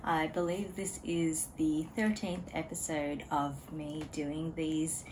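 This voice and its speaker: A woman chatting casually to camera as a knitting vlogger at home, relaxed and chatty with frequent ums and small laughs. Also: low voice, takes it slowly, background noise. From Australia. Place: home room beside a desk.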